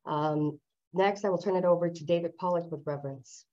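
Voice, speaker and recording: Low-voiced woman moderator on muffled call. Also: steady tone, brisk, warm tone. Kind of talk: moderating a virtual town hall. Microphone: video call. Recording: dull video-call audio.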